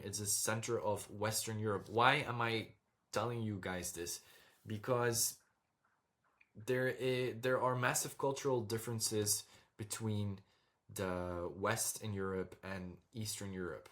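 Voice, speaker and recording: A man's voice: on the low side, steady tone, measured pace, a bit bright. A young man from Belgium, talking casually and directly to his viewers over slides, often checking in with "okay?". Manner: direct, repeats "okay?" and "all right?" to the viewer. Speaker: dating coach vlogger.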